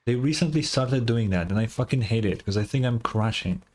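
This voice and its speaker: A man with a husky voice giving casual, blunt, sweary reaction commentary as a game streamer. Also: mid-range voice, expressive, a little fast, a little mellow. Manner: blunt, sweary, muttering asides. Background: faint game music.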